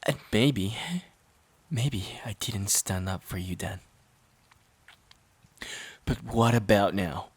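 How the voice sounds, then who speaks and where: A man playing a worn-out, resentful boyfriend in an audio drama, pleading in a halting, strained way. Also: on the low side, fairly bright tone, husky, full character voice. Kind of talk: dramatic audio roleplay monologue.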